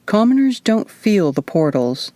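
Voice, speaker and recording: A woman's voice: mid-range voice, sing-song, measured pace, warm tone, no room sound, pitched above the performer's own voice. A woman voicing a stern, frustrated man in charge, terse and commanding, in an audiobook. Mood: serious.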